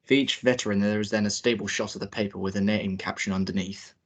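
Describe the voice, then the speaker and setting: Quick-talking young man, lowish voice. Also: steady tone. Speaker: director hosting a table read and reading narration. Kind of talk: informal hosting and script narration.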